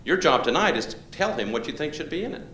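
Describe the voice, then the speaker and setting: A man's voice: on the high side, very animated, fast talker, sharp tone. Man running a meeting in an echoey hall. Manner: informal, conversational, with frequent restarts. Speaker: meeting facilitator. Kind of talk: public meeting facilitation. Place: large room at a public library. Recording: echoey hall, faint room noise.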